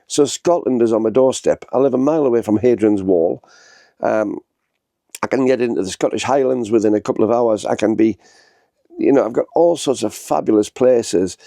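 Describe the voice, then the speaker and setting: Bunged-up guy in his 60s, gravelly, rambling vlog chat into a little camera. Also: mid-range voice, normal speed, warm tone, no echo, studio quality. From northern England. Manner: rambling, chatty, many fillers and restarts. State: congested with a head cold. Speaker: vlogger. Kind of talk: casual talking-to-camera vlog. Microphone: small handheld camera.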